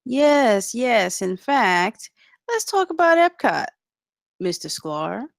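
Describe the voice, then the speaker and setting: A woman at a table read, playing a sharp, candid and skeptical male engineer. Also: fairly high pitch, big pitch swings, takes it slowly, vocal fry, no echo, clean studio sound, heavily acted. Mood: delighted.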